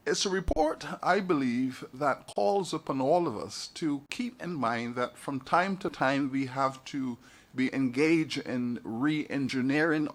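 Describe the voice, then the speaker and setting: A man from Barbados giving a formal speech to a council meeting, measured and oratorical, building his points with repeated phrases. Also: mid-range voice. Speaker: university leader giving an address. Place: meeting hall.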